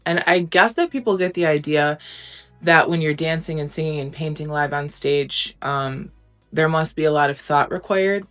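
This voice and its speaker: A young woman with a low, grounded voice talks openly and casually, filling pauses with "um" and "like". Faint music plays quietly under her words. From United States. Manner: candid, chatty, frequent "um" and "like". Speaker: interview guest, recording artist. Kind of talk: casual interview answers.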